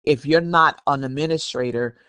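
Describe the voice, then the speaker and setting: Male podcast host, American, slightly high voice, emphatic and admonishing, very dry sound. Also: moderate pace, pushed and bright, clean tone, dry recording. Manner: emphatic, admonishing, repeats phrases for stress. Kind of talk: opinionated conversational commentary.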